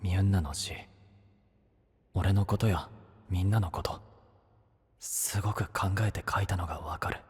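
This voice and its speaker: A man's voice: low voice, fairly even intonation, a little slow, pauses often, husky, no echo, heavily acted. A man voice-acting an earnest, awkward young knight in an audio drama, blunt and casual, often muttering to himself. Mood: gentle. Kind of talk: Japanese anime-style voice drama acting.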